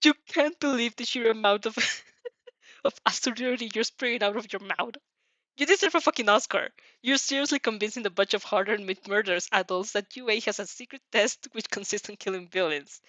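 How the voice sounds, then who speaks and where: A woman's voice: on the high side, moderate pace, fairly bright tone, no echo. A woman reading fan fiction aloud with drama, often stumbling over words and breaking into giggles. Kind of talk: animated dramatic reading of a story with giggly asides.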